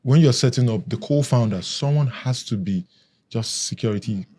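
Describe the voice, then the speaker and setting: A man's voice: mid-range voice, moderate pace, dark tone. A man, a security expert guest, explaining step by step in a casual podcast chat. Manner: explains step by step, lists questions.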